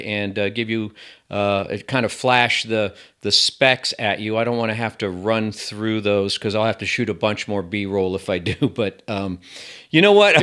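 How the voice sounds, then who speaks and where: A man's voice: medium pitch, pushed and bright, smooth. A man hosting a casual gadget review, chatting to the viewer with self-deprecating asides. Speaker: tech reviewer, host.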